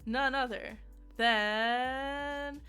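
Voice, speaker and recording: A woman's voice: high voice, fairly even intonation, drawn-out words, bright, forceful tone, clean tone, soft background music. A woman giving a casual, conversational video game review in a giggly, self-deprecating manner.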